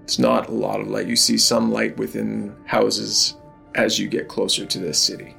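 A man narrating an improvised tabletop game as game master, pausing often mid-sentence. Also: fairly low pitch, level tone, creaky, sharp tone, soft background music.

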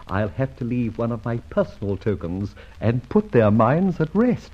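Debonair radio serial gentleman thief, very lively delivery, theatrical, muffled old recording. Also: mid-range voice, unhurried, a little hiss or hum. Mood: teasing, gleeful. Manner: debonair, amused, theatrical phrasing. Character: suave, enigmatic gentleman thief and adventurer.

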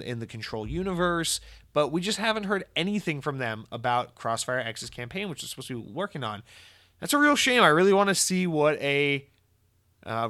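A young man hosting a gaming podcast, talking in a casual, opinionated way, his voice hoarse and tired, blunt and swearing freely. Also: medium pitch, a bit bright.

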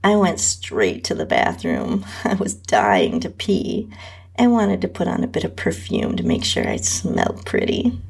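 A woman's voice: a bass voice, huge pitch swings, measured pace, vocal fry, breathy edge. A woman host narrating an intimate first-person erotic story.

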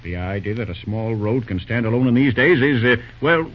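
An actor playing a stern, pompous middle-aged railroad president and disapproving father in an old-time radio drama, formal and halting. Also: medium pitch, animated, natural voice. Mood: stern, indignant. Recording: dull old radio show recording.

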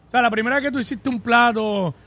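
High-voiced Puerto Rican radio host. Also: male voice, quick pace, sharp tone. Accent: Puerto Rican Spanish. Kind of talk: radio interview.

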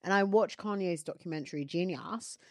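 A young woman, co-hosting a podcast, telling stories in an animated way, swearing and laughing often. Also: on the low side, moderate pace, no room sound, studio quality. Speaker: podcast co-host. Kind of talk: casual chat.

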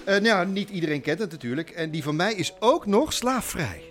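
An actor in a radio drama playing an eager, fussy, chatty bed and breakfast host who hesitates and repeats himself. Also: male voice, sits high, sing-song, normal speed, over music. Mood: eager, welcoming. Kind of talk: naturalistic radio drama acting.

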